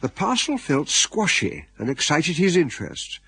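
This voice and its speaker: A man's voice: on the high side, big pitch swings, normal speed, bright, forceful tone, breathy edge, dry recording, heavily acted. A man with an English accent narrating a radio drama in a wry, droll storytelling manner.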